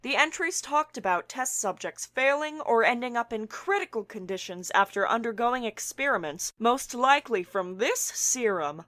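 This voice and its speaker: A woman playing excitable scientist Hanji. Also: fairly high pitch, moderate pace, few pauses. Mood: explaining. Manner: animated, bursts into giddy laughter. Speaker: scientist character. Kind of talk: fan audio drama acting.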